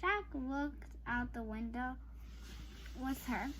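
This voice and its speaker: High-pitched child reading a storybook aloud, slowly. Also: expressive, a bit bright, a little hiss or hum, low-quality recording. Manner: informal, apologizes and speaks straight to listeners. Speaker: storybook reader for online viewers.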